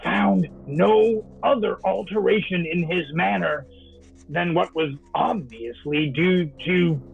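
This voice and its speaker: Male actor as brooding diarist in an old-time radio chiller: high-set, gravelly voice, halting delivery. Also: narrow, tinny audio, soft background music, full character voice. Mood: uneasy. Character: troubled man recounting uncanny events from his journal. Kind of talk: old-time radio drama monologue, live performance.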